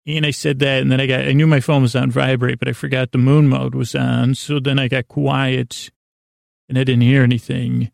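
Male sleep-podcast host, creaky voice in a dry recording, halting self-deprecating rambles. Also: medium pitch, little pitch movement, no echo. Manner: meandering, halting, circling back on himself; self-deprecating, gently absurd.

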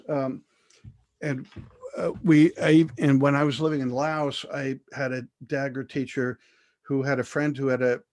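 Older man, interview guest, measured storytelling, thoughtful pauses, plain ordinary voice. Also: medium pitch, a little mellow. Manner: measured, thoughtful, with frequent fillers and restarts.

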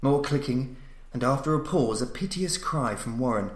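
A man's voice: medium pitch, lively intonation, moderate pace. A man reading a gothic horror story as a dramatic audiobook narrator, solemn and suspenseful. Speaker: narrator, podcast reader. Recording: roomy, echoing voice recording.

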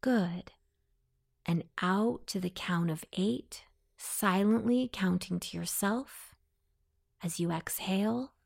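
Very slow, gravelly woman guiding meditation. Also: mid-range voice. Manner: soothing, deliberate, instructing. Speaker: guided meditation narrator. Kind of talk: slow guided meditation narration.

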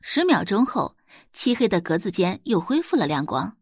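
A woman's voice: medium pitch, very animated, normal speed, breathy edge, no room sound, clean studio sound. A woman narrating a scripted audio drama as a storyteller.

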